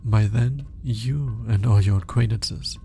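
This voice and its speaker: A man playing an alien scientist in an ASMR roleplay, formal and curious, with clinical wording and hesitant, flustered pauses as he awkwardly courts the listener. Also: lowish voice, gravelly, mellow, soft background music. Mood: matter-of-fact, reassuring. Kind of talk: ASMR roleplay audio drama, speaking to the listener.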